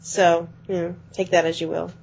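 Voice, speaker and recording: A woman co-hosting a podcast, chatting quickly about video games and often letting her phrases trail off. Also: fairly low pitch, flat delivery, gravelly, darkish tone, husky. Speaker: podcast co-host. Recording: dull lo-fi podcast audio.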